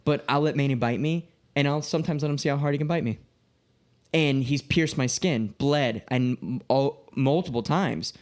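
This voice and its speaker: A man in his late twenties with an average-pitched voice talks loosely and informally as a podcast co-host. He tells stories in an animated way, voicing the dialogue he quotes and swearing freely. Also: normal speed. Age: late 20s. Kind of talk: casual chat, telling personal anecdotes.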